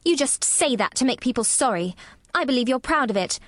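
A woman voicing a stubborn, sour young girl with a sharp, contrary manner in a storybook reading. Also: sits high, very animated, normal speed, dry recording, clean studio sound, pitched above the performer's own voice. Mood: scornful.

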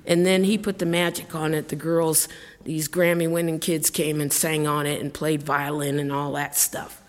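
A woman's voice: sits low, little pitch movement, normal speed. Woman, middle-aged, singer-songwriter, rambling onstage story, chatty, long hall reverb. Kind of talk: storytelling between songs at a live show. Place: live concert venue with audience.

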